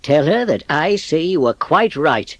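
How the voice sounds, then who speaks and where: Actor as a kindly old gentleman, swooping intonation. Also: a little high, a little slow, no room sound. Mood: warm, gracious. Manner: courteous, avuncular, expressive. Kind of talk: dramatised audiobook acting.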